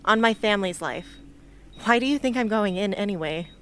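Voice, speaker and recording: A woman's voice: medium pitch, animated, fairly quick, close to an everyday voice. A woman playing a determined, defiant teenage girl soldier in an amateur radio drama. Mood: earnest. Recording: faint background noise and ambience.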